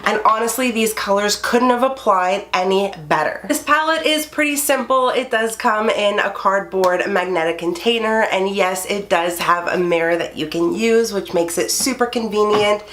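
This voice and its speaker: A woman's voice: mid-range voice, normal speed, few pauses, fairly bright tone, slight background noise. A woman chatting eagerly through a makeup review in her bedroom, her voice nasal and congested from a cold. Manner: enthusiastic, chatty. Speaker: beauty YouTuber. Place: her bedroom under filming lights.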